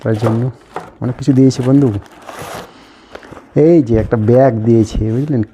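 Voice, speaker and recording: A man's voice: mid-range voice, steady tone, normal speed, warm tone, slight background noise. A man giving a casual, plainly explained product unboxing commentary, going item by item. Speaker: host of an unboxing video channel.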